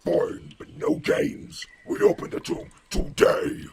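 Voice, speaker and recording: Brief: man voicing a curt villain baron, harsh half-whisper, slow. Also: darkish tone, lightly acted. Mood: impatient.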